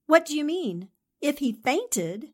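A woman acting an excitable, questioning newspaperwoman in a 1940s-style radio drama, dramatic and insistent. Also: high-pitched, slow pace, full character voice. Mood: alarmed.

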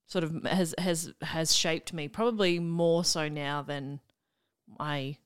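A young Australian woman talks casually as a podcast guest, trading quick, self-deprecating asides. Her voice is smooth and clear, sitting slightly low for a woman. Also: steady tone. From Melbourne, Australia. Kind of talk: casual chat.

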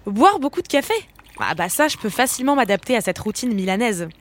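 A woman's voice: fairly high pitch, animated, brisk. A woman hosting a travel show, narrating and asking questions in a chatty, playful way.

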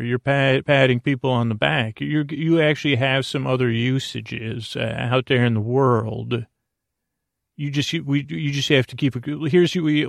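A man hosting a sleep podcast, rambling gently and soothingly in a halting, whimsical monologue that keeps interrupting itself. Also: medium pitch, dry recording, studio quality. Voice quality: gravelly, creaky. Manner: halting, meandering, self-interrupting. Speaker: podcast host.

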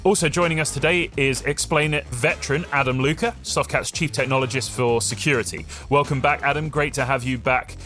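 Brisk male podcast host. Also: medium pitch, sharp tone, soft background music. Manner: brisk, friendly, presenter-like. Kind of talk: scripted intro and casual chat.

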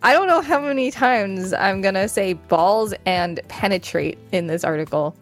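Woman reading aloud, mid-pitched voice. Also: moderate pace, faint music behind. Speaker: podcast co-host. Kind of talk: reading an encyclopedia article aloud with casual asides.

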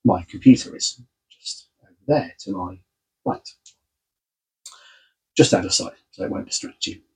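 Snuffly pastor with a husky, dark voice. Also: male voice, low-pitched, expressive, a little slow, low-quality recording. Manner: friendly, conversational, informal. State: congested, under the weather. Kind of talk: casual sermon and Bible reading. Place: home study in a converted garage.

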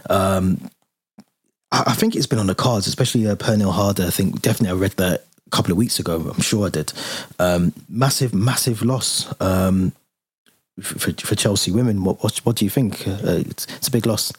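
A man's voice: low voice, a little fast, breathy edge, no room sound. A man hosting a casual football podcast, talking easily with a lot of ums and reading out plugs for the channel. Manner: relaxed, lots of fillers and restarts, reads out channel plugs. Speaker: podcast host.

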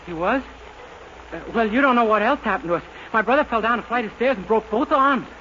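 An actor playing a naive, eager young cab driver in a 1940s radio situation comedy, earnest and rambling. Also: male voice, extremely high pitch, expressive, a little fast, pushed and bright, exaggerated voice. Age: young adult. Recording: dull scratchy 1940s radio recording.

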